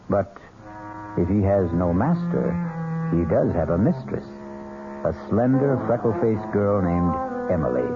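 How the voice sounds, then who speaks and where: Low-voiced man hosting old radio mystery, tinny. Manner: suspenseful, measured dramatic phrasing. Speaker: radio mystery host and narrator. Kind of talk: dramatic scripted radio narration. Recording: tinny, band-limited 1970s radio recording.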